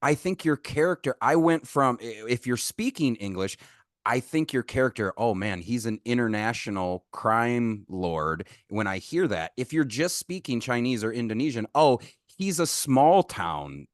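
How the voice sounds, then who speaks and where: A man's voice: mid-range voice, very animated, unhurried, no room sound, clean studio sound. A man hosting a podcast interview, asking long, winding questions full of restarts and gushing praise. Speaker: podcast host.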